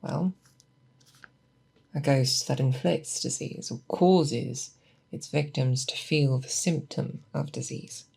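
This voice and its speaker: A woman playing a seasoned, patient monster hunter in an ASMR roleplay, speaking softly and thoughtfully to an apprentice, close to the mic. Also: extremely low pitch, gravelly, breathy edge, exaggerated voice. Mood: musing, grave. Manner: deliberate, hesitant, thoughtful explaining. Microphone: close binaural microphone.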